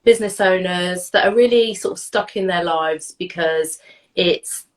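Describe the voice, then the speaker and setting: A woman's voice: lowish voice, measured pace. A woman in her forties, a bit stuffed up from a cold, chatting as an interview guest in a rambling way over a video call. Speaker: guest, therapist and coach. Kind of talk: casual interview chat.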